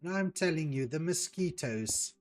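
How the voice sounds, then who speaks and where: A man from South Africa with an Afrikaans accent, a native Afrikaans speaker, chatting casually as a streamer, unhurried and hesitant with many ums. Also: a little high, animated, soft background music. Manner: unhurried, hesitant, with frequent ums while reading out chat. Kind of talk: casual chat while playing a game.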